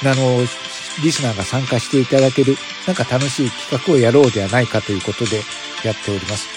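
A man's voice: mid-range voice, steady tone, fast, music in the background. A man hosting a podcast, making a casual announcement to listeners in polite, formal phrasing. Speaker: podcast host.

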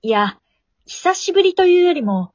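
A woman's voice: very high voice, big pitch swings, moderate pace, darkish tone, no room sound. A woman playing a tired working woman who narrates her struggle to sleep in a radio drama monologue. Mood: weary, resolute. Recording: dull lo-fi remote home recording.

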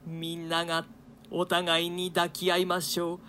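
A woman's voice: on the low side, monotone, measured pace, a bit bright. A woman playing a condemned nobleman, grave, bitter and pious, in a declamatory theatrical reading of archaic Shakespeare. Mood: solemn, imploring. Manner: declamatory, solemn.

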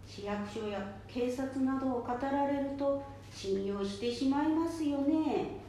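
A woman acts as a patient community advisor in an amateur radio play, explaining politely. Her voice is fairly high and soft-edged, very mellow in tone. It echoes strongly around the room. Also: unhurried. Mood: patient, advising. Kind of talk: amateur radio drama, instructive. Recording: very echoey room, faint noise.

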